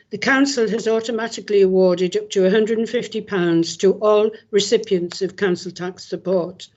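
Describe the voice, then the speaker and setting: A woman councillor, hoarse from a cold, giving a formal answer. She reads out prepared figures and stumbles now and then. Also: mid-range voice, darkish tone. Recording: dull lo-fi audio.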